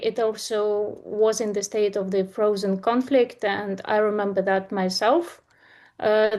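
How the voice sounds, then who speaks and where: A woman in her thirties from Eastern Ukraine, speaking English with a Ukrainian accent, presenting a talk with earnest delivery and frequent pauses. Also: mid-range voice, darkish tone. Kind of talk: presentation to an online audience.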